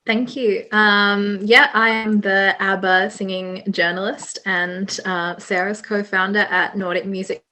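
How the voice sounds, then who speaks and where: A woman's voice: mid-range voice, flat delivery, a little slow, hardly any pauses, pushed and bright. A woman from Australia with an Australian accent, introducing herself on a panel with many "um" fillers. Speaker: panelist, journalist. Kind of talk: casual self-introduction.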